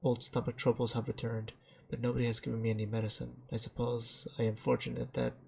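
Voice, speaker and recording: Male game player reading text dramatically, creaky and rough-edged, halting delivery, even intonation. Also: medium pitch. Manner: halting, stumbles over words while reading. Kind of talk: dramatic read-aloud narration.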